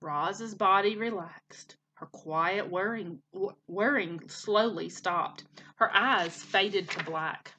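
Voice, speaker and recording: A woman's voice: mid-range voice, slow, sharp tone, slight background noise, rough recording. A woman narrating a children's storybook expressively, like a teacher reading aloud.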